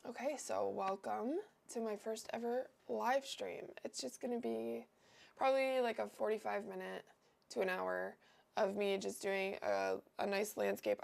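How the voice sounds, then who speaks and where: This is a young woman who is sick and sounds nasal, with a heavy gravelly fry running through her voice. She talks through her painting in a loose, meandering way, full of ums and restarts. Her pitch sits right in the middle. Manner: meandering, thinks aloud with many fillers. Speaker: livestream host painting. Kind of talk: casual painting commentary.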